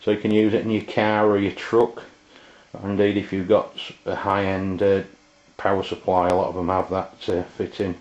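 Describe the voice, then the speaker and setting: A man giving a casual, halting, unscripted product review as he goes. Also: sits low, completely flat delivery, a little mellow. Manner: halting, hesitant delivery. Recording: dull lo-fi amateur video sound.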